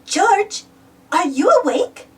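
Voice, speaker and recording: Lady doing a playful little piglet girl from a storybook, really high and bouncy, bit off the mic. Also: very animated, slow pace, distant mic, exaggerated voice. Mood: eager, pleading.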